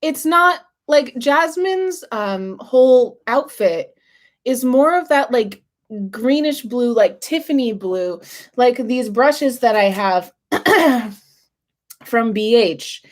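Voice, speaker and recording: A woman chatting casually in a makeup review vlog, her voice husky and a little hoarse as if she is losing it, often clearing her throat between fillers. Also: fairly high pitch, animated, slow. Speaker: beauty vlogger.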